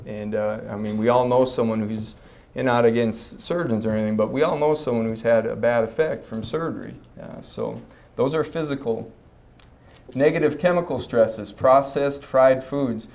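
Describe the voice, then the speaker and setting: A man gives a health talk at a mid pitch. He keeps a relaxed, folksy manner, joking with the crowd and calling them "guys.". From Fargo, North Dakota. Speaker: chiropractor giving a health talk. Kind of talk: public talk at a health expo. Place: expo breakout session room before a live audience.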